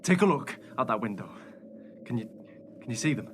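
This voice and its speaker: An actor plays an earnest, anxious man trapped in a horror radio play. His mid-pitched voice is urgent, and clear noise runs behind it. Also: lively intonation, a little fast, lightly acted.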